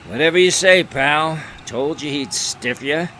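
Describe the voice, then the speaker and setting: A man's voice: on the high side, a little slow, pushed and bright, a little hiss or hum. An actor playing a cocky, sarcastic 1920s newsman who needles his pals in slangy period-drama style. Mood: cocky, mocking. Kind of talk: period radio drama dialogue.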